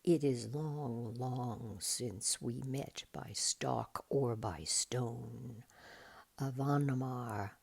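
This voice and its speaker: Woman voicing an ancient, very slow, low tree giant. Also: creaky, darkish tone, slightly rough, full character voice. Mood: sad, solemn. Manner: slow, solemn, drawn-out speech.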